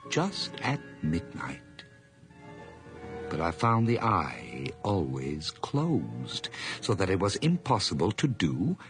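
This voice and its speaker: An actor playing an obsessive, unhinged farmhand in a radio drama, theatrical and deliberate, courteous in an eerie way. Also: male voice, fairly low pitch, full character voice. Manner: theatrical, deliberate, repeats phrases intensely. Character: obsessive, unhinged farmhand narrator confessing murder, eerily courteous. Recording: music bed under the voice.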